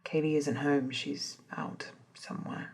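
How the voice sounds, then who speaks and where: A woman acting in an ASMR audio drama as a stern, guarded leader, speaking in a measured, dry, admonishing way. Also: deep voice, level tone, darkish tone. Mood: weary, resigned.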